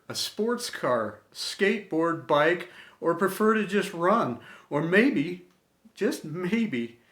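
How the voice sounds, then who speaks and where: A man reading a scripted inspirational tribute in an earnest, deliberate manner, pausing between short phrases. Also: on the high side, fairly bright tone. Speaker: storyteller and host of an inspirational series. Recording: echoey room.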